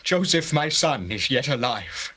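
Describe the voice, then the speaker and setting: An actor playing an emotional old Hebrew patriarch in a dramatized scripture. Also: male voice, medium pitch, very animated, unhurried, sharp tone, full character voice. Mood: moved, relieved.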